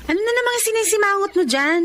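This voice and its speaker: Very high-voiced Filipino girlfriend confidante. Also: expressive, quick pace, over loud music. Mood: chiding, advising. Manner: advising, chiding. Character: sensible, teasing young female friend. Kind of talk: Filipino radio drama acting.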